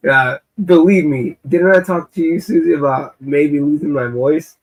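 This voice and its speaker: A man guesting on a live-streamed video chat, telling animated stories and laughing often. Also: fairly high pitch, moderate pace, poor audio. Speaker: musician guest.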